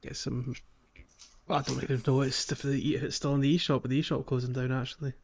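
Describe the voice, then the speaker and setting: A man's voice: mid-range voice, little pitch movement, fast, vocal fry, a little mellow. A man chatting casually and enthusiastically as a podcast host. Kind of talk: casual chat.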